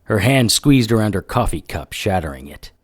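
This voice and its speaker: An actor playing a wry, hard-boiled monster hunter in a noir audio drama. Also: male voice, fairly low pitch, big pitch swings, moderate pace. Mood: grim narration. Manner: wry, sardonic. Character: cynical hard-boiled male monster hunter, wisecracking and crude. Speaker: narrator and lead actor.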